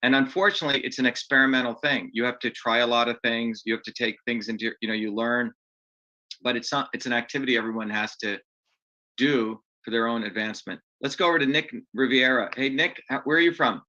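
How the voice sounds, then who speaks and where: A man's voice: medium pitch, fast talker, bright, forceful tone, highs cut off. A man hosting an online open house meeting in a casual, upbeat way, often restarting his sentences. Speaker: host.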